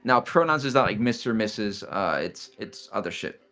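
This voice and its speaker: A man's voice: lowish voice, lively intonation, normal speed, bright, forceful tone, faint music behind. A man, casually explaining study steps as a guest in a chat, blunt and fond of restarts.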